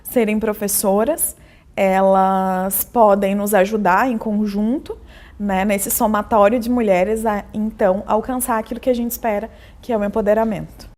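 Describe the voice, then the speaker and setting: A woman journalist and university lecturer giving a measured, earnest interview testimony, often tagging her phrases with a questioning "né?". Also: medium pitch, fairly even intonation, darkish tone.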